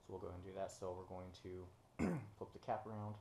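Young guy, very low voice, easygoing DIY walkthrough while working on the floor of his garage. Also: brisk, creaky, slight background noise. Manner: relaxed, many ums and uhs while working. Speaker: DIY mechanic host. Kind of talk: casual how-to explanation. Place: home garage, concrete floor. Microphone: camera on the floor nearby, hands busy.

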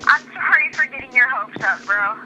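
A young woman chatting casually as a co-player in a game, quick and a bit defensive. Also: high voice, animated, muffled recording, background noise. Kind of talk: casual chat.

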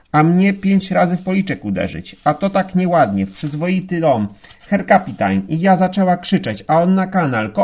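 A man's voice: on the high side, gravelly, a little mellow, breathy edge, pitched above the performer's own voice, full character voice. A man voicing a stout, gushing, indignant German madam who chatters in broken grammar with a German accent.

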